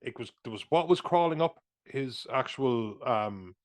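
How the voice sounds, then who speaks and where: A man, co-hosting a casual film chat, rambling with restarts and sudden animated asides. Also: mid-range voice, measured pace. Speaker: podcast co-host.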